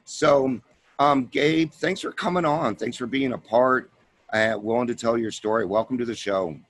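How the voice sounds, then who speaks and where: A man's voice: medium pitch, normal speed, a bit bright, dry recording. A man hosting a recovery talk show, speaking in a heartfelt, conversational way. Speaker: podcast host. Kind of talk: casual interview chat.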